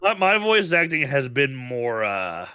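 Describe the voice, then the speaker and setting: A man's voice: medium pitch, sing-song, unhurried, bright, forceful tone, smooth, no room sound. A man co-hosting a casual podcast while sick, laughing often and restarting his sentences. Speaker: podcast co-host.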